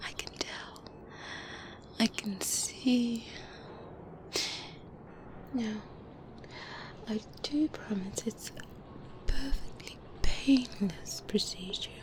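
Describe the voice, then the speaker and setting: A woman playing a softly sinister, polite specialist doctor in an ASMR roleplay, hesitant and insinuating, in a breathy whisper with murmured hums. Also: on the high side, extremely slow, long pauses, poor audio. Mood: sly, reassuring.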